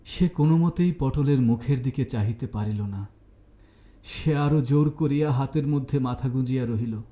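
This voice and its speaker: Mellow male storyteller in an echoing room. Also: medium pitch, moderate pace. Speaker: story reader and narrator. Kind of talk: literary scripted narration.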